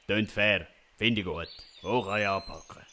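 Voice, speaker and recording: A man's voice: on the low side, moderate pace, sharp tone. A man playing a blunt, impatient, henpecked father with a Swiss German accent in a comic audio drama. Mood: matter-of-fact. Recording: faint birdsong and outdoor noise.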